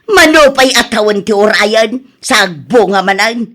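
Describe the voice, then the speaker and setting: An actress playing an exasperated, worried farmer's wife who scolds and exclaims in an Ilocano radio drama, with an Ilocano accent. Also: female voice, mid-range voice, lively intonation, moderate pace, bright, forceful tone. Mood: scolding.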